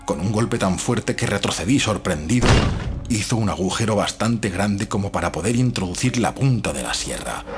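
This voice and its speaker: Deep-voiced man narrating gothic horror. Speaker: audiobook narrator. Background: faint music.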